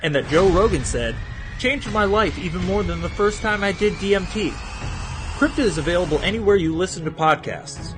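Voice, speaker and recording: A man's voice: high-pitched, fairly quick. Mock-dramatic male announcer over music. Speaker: trailer announcer. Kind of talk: scripted comic promo narration. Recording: music behind the voice.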